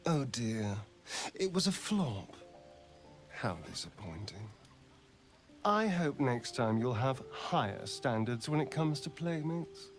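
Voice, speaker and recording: An actor playing a flamboyant, sardonic, power-hungry vampire in a video game, with a teasing, drawn-out delivery. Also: male voice, mid-range voice, big pitch swings, soft background music. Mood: mock sympathy. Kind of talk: dramatic video game acting.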